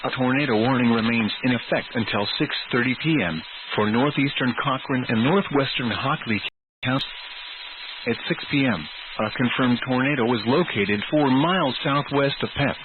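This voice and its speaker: A man announcing a scripted weather alert bulletin over the radio in a measured, formal, synthetic-sounding delivery. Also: medium pitch. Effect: radio transmission. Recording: tinny, noisy weather radio broadcast.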